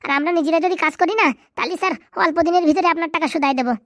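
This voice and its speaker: A woman voicing a cheeky, scheming young male cartoon sidekick who talks in eager, flattering colloquial Bengali-accented patter. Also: very high-pitched, rapid-fire, no echo, clean studio sound. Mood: eager, deferential. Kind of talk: comedy cartoon dubbing.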